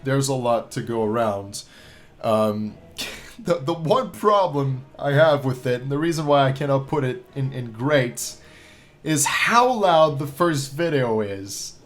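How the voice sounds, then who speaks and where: A man's voice: mid-range voice, lively intonation, measured pace. A man hosting a ranking video with casual, unscripted review commentary, repeating himself for emphasis in a hesitant way. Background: background music.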